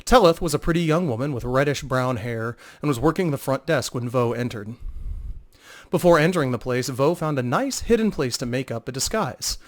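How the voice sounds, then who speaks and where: Guy narrating a fantasy audiobook, medium pitch, dramatic storytelling read. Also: expressive, fairly quick.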